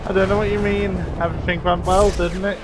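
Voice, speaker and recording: A man's voice: high voice, flat delivery, a little fast, noisy background. A man doing casual gameplay commentary as he plays a video game. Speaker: gamer. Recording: game music and gunfire behind.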